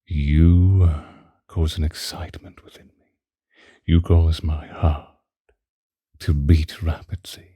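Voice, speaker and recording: An ancient vampire lover, acted out by a man, possessive and doting, murmurs in an intimate ASMR roleplay. The voice is extremely low and very dark, with a husky, breathy edge that often slips toward a whisper. The old-fashioned romantic phrases come slowly and close to the mic. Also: level tone, no echo, heavily acted. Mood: adoring, intimate. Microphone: close microphone, dry.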